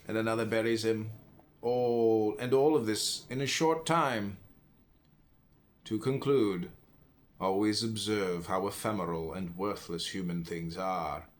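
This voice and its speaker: A man with a medium-pitched voice reads classical philosophy aloud. His delivery is deliberate and declamatory, setting phrases apart with emphatic pauses. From United States. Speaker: reader, podcast host.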